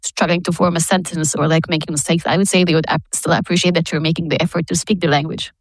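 Fast-talking woman interviewer. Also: low voice, level tone, no room sound, poor audio. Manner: quick, friendly questions with "like" and "right?". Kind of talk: casual interview.

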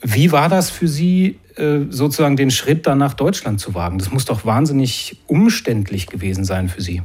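A man's voice: mid-range voice, moderate pace, a little mellow, breathy edge. A man hosting an interview, putting questions in a polite, conversational way with formal address. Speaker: interviewer, host.

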